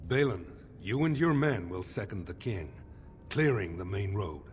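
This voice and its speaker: An actor playing an authoritative dwarf lord in a fantasy game, speaking formally and in measured phrases. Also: male voice, low voice, animated, a little hiss or hum. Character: authoritative male dwarf lord briefing troops.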